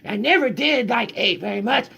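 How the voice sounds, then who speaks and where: A man reading a storybook aloud and voicing a talkative female dog who never stops chatting, in a husky, high sing-song voice. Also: animated, normal speed, sharp tone, heavily acted. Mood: chatty. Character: chatty talking female dog, endlessly rambling. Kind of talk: reading a picture book aloud.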